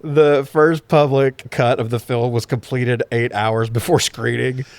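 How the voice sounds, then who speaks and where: A man's voice: mid-range voice, steady tone, measured pace, dry recording. A man co-hosting a podcast, sharing movie trivia in a jokey, conversational, sometimes sweary way. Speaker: podcast co-host. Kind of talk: casual film-trivia chat, partly reading facts.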